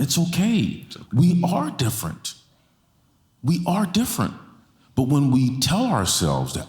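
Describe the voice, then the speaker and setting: A man in his forties speaking with passion to a church audience on stage, holding a handheld mic and repeating lines for stress. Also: mid-range voice. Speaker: guest speaker. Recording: roomy church hall sound.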